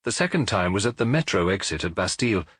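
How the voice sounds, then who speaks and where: Merry reformed petty crook voiced by a male narrator, chatty and familiar, bouncing intonation, slightly husky. Detective audiobook. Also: deep voice, very animated, fast, fairly bright tone, no room sound, studio quality, full character voice. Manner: jovial, garrulous, familiar.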